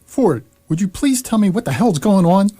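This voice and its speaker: A man's voice: sits high, sing-song, moderate pace, mellow, husky, exaggerated voice. An actor playing a bewildered, polite, put-upon ordinary man in a radio comedy, often hesitant and exasperated. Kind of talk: radio comedy drama acting.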